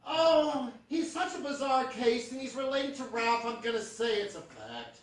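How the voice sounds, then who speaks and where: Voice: high-pitched, lively intonation, normal speed. A performer playing a wisecracking, heckling contestant in live stage comedy banter. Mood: mock pondering. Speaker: panel show contestant. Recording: echoey stage from far off, faint noise.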